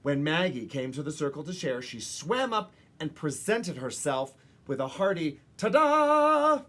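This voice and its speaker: A woman reads a children's picture book aloud in an expressive way, with dramatic pauses and emphasis for young listeners. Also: deep voice. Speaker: children's story reader.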